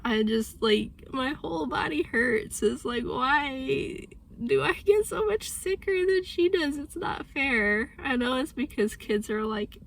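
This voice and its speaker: A woman's voice: high-pitched, lively intonation, slow, vocal fry, a little hiss or hum, low-quality recording. A woman in her thirties vlogging casually about her writing, sick and congested, with a voice that is going, rambling and thinking aloud. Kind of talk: casual vlog chat.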